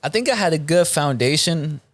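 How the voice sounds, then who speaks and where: A man in his late twenties from Belgium, a native Moroccan Arabic speaker, talking casually as a rapper guest in an interview, with a relaxed, halting delivery full of ums. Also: on the high side, dry recording. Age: 20s. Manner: relaxed, hesitant with frequent ums and restarts.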